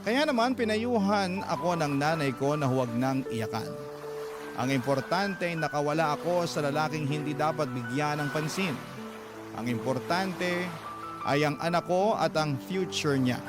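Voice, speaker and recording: A man's voice: a little high, normal speed, close to an everyday voice. A man narrating, in the first person, the letter of a young mother betrayed by a married lover. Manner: confessional, earnest. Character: heartbroken young mother recounting her story. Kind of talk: radio drama letter narration. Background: music.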